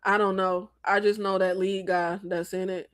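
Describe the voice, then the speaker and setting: A young woman from Florida with a Floridian Southern lilt in her slang, hosting a casual live chat about movies, emphatic and quick to leap up in pitch when exasperated. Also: flat delivery, no room sound, studio quality. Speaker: live stream host discussing movies. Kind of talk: casual live chat reading viewer comments.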